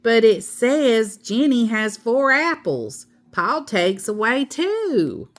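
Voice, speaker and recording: Storybook teacher, played by a woman reader: chirpy, bossy, takes everything literally; slow emphatic phrasing with big melodic swings. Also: a little high, big pitch swings, pitched above the performer's own voice. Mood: puzzled, eager. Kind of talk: children's storybook read-aloud.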